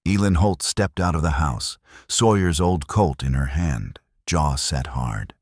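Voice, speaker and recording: Very deep-voiced man narrating a Western. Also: slightly rough, no room sound, clean studio sound. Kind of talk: scripted dramatic Western story narration.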